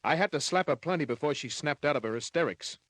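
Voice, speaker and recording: An actor playing a wry, hard-boiled private detective in an old-time radio drama, laconic, slangy and cynical. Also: male voice, on the high side, expressive, fast, a bit bright, no room sound. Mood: wry narrating.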